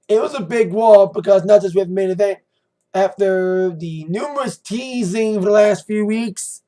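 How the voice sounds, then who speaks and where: An American man with a slightly hoarse voice, giving a rambling, informal and emphatic recap as a wrestling review vlogger. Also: high voice, a little mellow. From United States. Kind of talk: casual recap commentary to camera.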